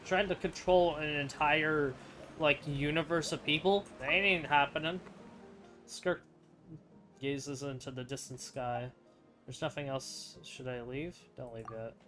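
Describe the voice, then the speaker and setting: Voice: medium pitch, steady tone, a little slow, a bit bright. Offhand game streamer with music behind. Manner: offhand, informal, wry. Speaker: game streamer reacting to a story quest. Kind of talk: casual commentary, reading dialogue choices aloud.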